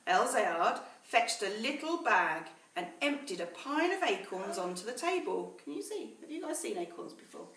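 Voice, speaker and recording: A woman reading a story aloud to children in a teacherly way, pausing to explain words and ask questions. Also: mid-range voice, fairly bright tone. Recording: roomy echo, distant mic, faint noise.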